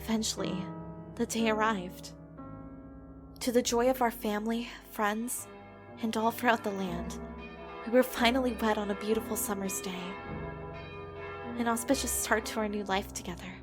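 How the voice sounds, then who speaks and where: A woman voicing a gentle, long-lived wife with a soft, tender, plaintive way of speaking in a fan drama dub. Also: on the high side, fairly even intonation, moderate pace, pauses often, close to an everyday voice. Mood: tender, reflective. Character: gentle grieving wife, long-lived, mourning lost friends. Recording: music bed with plucked guitar.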